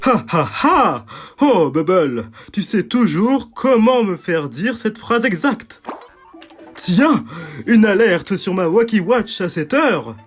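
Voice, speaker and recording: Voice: medium pitch, big pitch swings, slow, slightly rough, exaggerated voice. An actor as a bombastic male cartoon ringmaster of a digital circus. He speaks in a grandiose showman's manner, theatrical in every phrase. Music plays behind him. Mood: bombastic, alarmed. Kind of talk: animated series dub.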